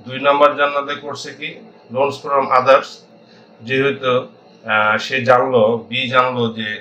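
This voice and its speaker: A Bangladeshi man teaches in an informal tutorial style. His voice is medium-pitched with a sharp, bright edge. He feels his way haltingly through each explanation and drops in English technical terms. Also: level tone, highs cut off. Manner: halting, thinking aloud, mixing in English accounting terms. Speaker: accounting instructor.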